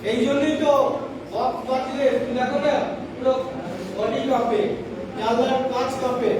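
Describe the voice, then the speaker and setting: A man's voice: extremely high pitch, steady tone, moderate pace. A man teaching a science class, repeating points and questioning the class. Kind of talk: classroom lesson with demonstration. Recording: echoey noisy room, distant mic.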